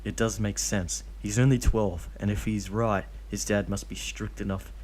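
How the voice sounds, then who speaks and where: A man's voice: fairly low pitch, little pitch movement, normal speed, a little mellow. Young man reading fanfic, stumbling on names. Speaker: fanfic reader.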